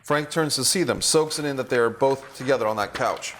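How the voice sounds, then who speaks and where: A man's voice: medium pitch, brisk, fairly bright tone, a little hiss or hum. A man working as a director, reading stage directions aloud and coaching his actors. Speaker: director leading a table read.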